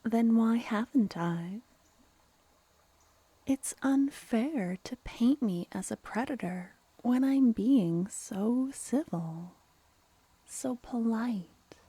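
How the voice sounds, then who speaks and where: Woman voicing a slow, breathy, teasing vampire woman. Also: a little high, dry recording, exaggerated voice. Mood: playfully indignant. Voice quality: soft, breathy. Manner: slow, teasing, mock-polite. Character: mischievous, regal female vampire, coaxing and toying. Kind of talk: softly spoken ASMR fantasy roleplay.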